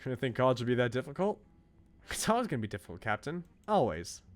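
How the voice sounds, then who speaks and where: A man voicing a blunt, protective, teasing young woman coach in a visual novel, in a brisk, informal pep-talk manner. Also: mid-range voice, sing-song, faint music behind, natural voice. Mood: stern.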